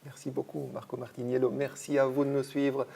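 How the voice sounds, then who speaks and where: A man's voice: mid-range voice, little pitch movement, fairly quick, creaky, warm tone, breathy edge. A man hosting an interview, asking questions attentively and affirming often. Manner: attentive, frequent backchannel affirmations. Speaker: interviewer host. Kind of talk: institutional interview.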